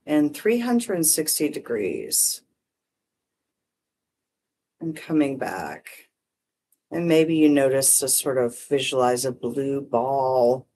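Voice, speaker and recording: A meditation teacher guiding breath and visualization in short, gently permissive phrases with long pauses. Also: medium pitch, a little hiss or hum. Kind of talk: guided meditation, unhurried instruction.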